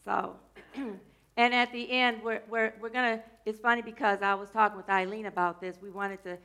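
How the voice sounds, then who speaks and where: A woman minister giving a loose, chatty sermon to her congregation. Her voice is scratchy today and she keeps clearing her throat, and she tends to wander off topic and joke along the way. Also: mid-range voice, steady tone, normal speed, sharp tone. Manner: rambling, playful, drifts off topic mid-sentence. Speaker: minister of a church.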